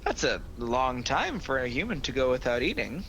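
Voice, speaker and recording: An actor playing a sly, proud devil who taunts and tempts in a sardonic, theatrical, persuasive manner in a radio play. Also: male voice, mid-range voice, sing-song, normal speed, a bit bright. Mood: mocking. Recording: noisy home video-call recording.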